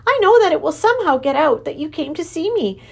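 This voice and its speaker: A woman voicing an exuberant, lovesick male creature king with antlers, who lisps and gushes in a high voice. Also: quick pace, vocal fry. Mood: anxious. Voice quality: lisping. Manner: high, gushing, excitable. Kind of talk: storybook reading.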